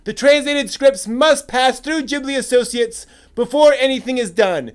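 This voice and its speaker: An indignant anime fan acted out by a man argues back in a very high, loud voice. The delivery is emphatic and scolding, with clear, ringing tone, like a staged reading of an angry forum post. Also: moderate pace. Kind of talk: dramatic reading of online posts.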